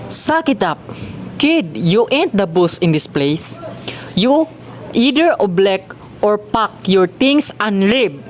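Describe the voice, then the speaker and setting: A woman's voice: medium pitch, very animated, slow, background noise, voice pushed up. A woman voicing an angry, bossy, tired father scolding a teenage child in an amateur radio play. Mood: bossy, threatening. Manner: scolding, irritable.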